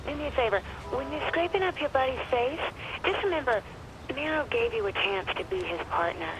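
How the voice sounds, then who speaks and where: A woman's voice: sits high, lively intonation, brisk. An actress playing a taunting, sweetly cruel young gangster's girlfriend in a video game, sarcastic and mock-sweet. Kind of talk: video game cutscene acting. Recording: clear background noise.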